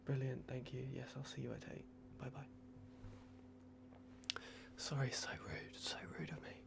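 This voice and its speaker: A man in an ASMR roleplay, playing a polite, flustered vampire at a clinic in a hushed, hesitant, apologetic way. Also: mid-range voice, level tone, moderate pace, gravelly.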